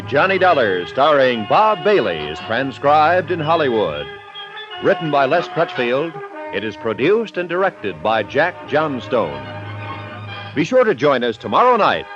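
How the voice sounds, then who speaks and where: Old-time male radio announcer. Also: medium pitch. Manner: smooth, formal announcer delivery. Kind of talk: formal broadcast announcing. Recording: dull old radio transcription with music.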